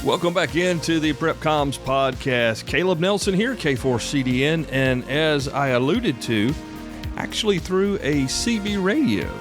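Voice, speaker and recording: An American man of about fifty hosting a podcast solo, talking casually in a folksy, rambling, reassuring way. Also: medium pitch. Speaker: podcast host.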